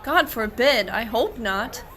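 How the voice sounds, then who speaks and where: Excitable, suspicious shepherd played by a woman, high voice, slow theatrical verse for comic radio play. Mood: worried. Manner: theatrical, exclamatory rhymed verse. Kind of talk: verse radio play acting of a medieval comedy.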